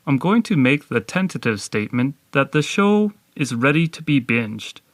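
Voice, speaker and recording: A man co-hosting a podcast, delivering a scripted review in a measured presenting style. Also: medium pitch, very animated, dry recording, studio quality.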